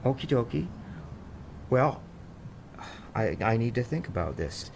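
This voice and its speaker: An actor playing a controlling, evasive middle-aged American company boss in an audio drama, with an insistent managerial manner. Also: male voice, medium pitch, sing-song, unhurried, gravelly, noisy background. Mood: controlling, exasperated.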